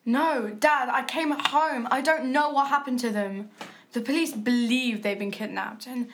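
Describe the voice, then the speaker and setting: Actress as scared teenage daughter: high voice, defiant, snappy, slightly noisy recording. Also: female voice, measured pace, a bit bright. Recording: clear background noise.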